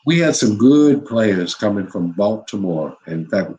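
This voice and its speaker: Deep-voiced older man recounting his career. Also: expressive, lo-fi sound, crowd noise. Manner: unhurried, with frequent "you know" and restarts. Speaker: former college basketball head coach, guest. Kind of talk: reflective interview storytelling.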